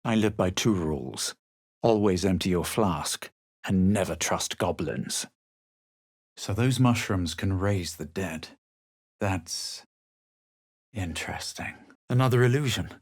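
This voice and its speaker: An actor playing a smug, self-assured male adventurer in a fantasy video game, measured and dryly dramatic. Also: low voice, slightly rough, no room sound, clean studio sound, full character voice. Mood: wry, composed. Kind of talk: video game character lines and first-person narration.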